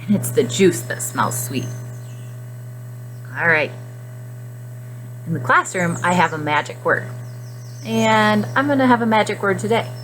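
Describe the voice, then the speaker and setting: A woman teacher speaking to young children in simple, expressive phrases during a guided reading lesson. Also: medium pitch, a little slow, long pauses, slight background noise, poor audio. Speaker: classroom nutrition teacher.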